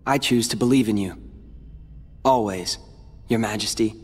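A man's voice: fairly low pitch, normal speed, slightly rough. An actor playing a brooding, determined teenage boy warrior in a video game, terse, earnest and defiant. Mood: somber, resolute. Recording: long hall reverb, music behind.

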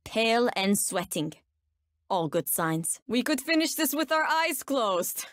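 An actress playing a menacing bounty hunter in a video game, with a Turkish accent and a cool, ominous, confident delivery. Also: female voice, a little high, sing-song, a little slow, no echo, studio quality. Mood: confident, taunting. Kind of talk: video game character voice lines.

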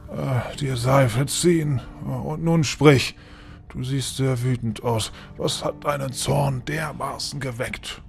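An actor playing a frail old lord in an audio drama, imperious and irritable, speaking with haughty command. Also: male voice, mid-range voice, steady tone, takes it slowly, vocal fry. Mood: grave, commanding. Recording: music under the voice.

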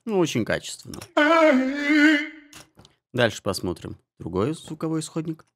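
A man giving a spoken software tutorial as an audio mixing tutor, explaining settings step by step. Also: mid-range voice, very animated, normal speed. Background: music and guitar samples.

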